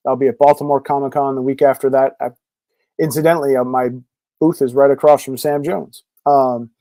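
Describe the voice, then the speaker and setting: A man's voice: medium pitch, steady tone, brisk, dark tone, dry recording. A man being interviewed as a podcast guest, talking casually and rambling with lots of fillers. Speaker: podcast guest, comic creator.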